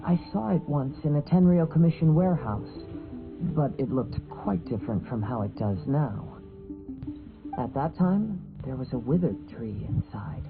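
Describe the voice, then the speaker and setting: A man playing a calm, thoughtful young wandering samurai in an anime game, speaking in a measured, formal, soft-spoken way. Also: mid-range voice, creaky. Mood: reflective. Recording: phone-like narrow band, music behind.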